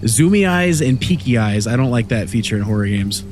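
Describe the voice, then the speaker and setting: A man's voice: mid-range voice, animated, brisk, background noise. Stuffy-voiced young man gaming. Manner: rambling, easygoing, chatty. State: stuffy from a cold. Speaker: gaming channel host. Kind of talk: casual let's play commentary. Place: home room at night.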